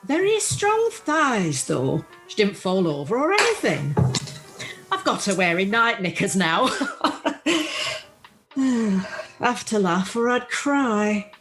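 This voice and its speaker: A woman's voice: medium pitch, sing-song, takes it slowly, fairly bright tone. A woman playing a chatty, plucky older widow in an amateur radio play, with a Northern English accent and an earthy, self-deprecating manner. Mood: jokey, earthy. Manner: earthy, self-deprecating, uses homely dialect. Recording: faint music, home video-call recording.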